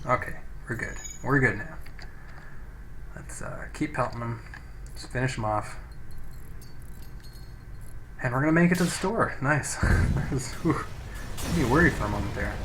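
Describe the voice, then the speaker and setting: Casting: male let's play gamer, mid-pitched, informal and sweary, talking through his play on a cheap, slightly noisy microphone. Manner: casual, sweary, thinking out loud. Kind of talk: casual gameplay commentary with read-out game text. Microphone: low-quality home microphone.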